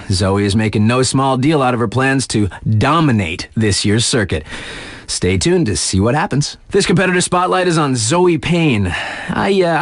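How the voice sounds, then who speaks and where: Swooping, slangy radio DJ. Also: male voice, medium pitch, no room sound. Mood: hyped, confident, then confident, admiring. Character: cool, flirty, hyped male snowboarding radio DJ. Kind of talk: radio DJ competitor spotlights and sports updates.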